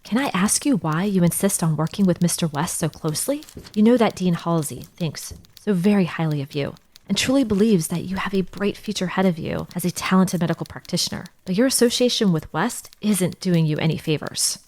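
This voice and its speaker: An actress playing a college dean's secretary in an audio drama, polite, frank and concerned. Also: female voice, fairly low pitch, animated, fairly quick, a little mellow. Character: candid, concerned female secretary to a college dean.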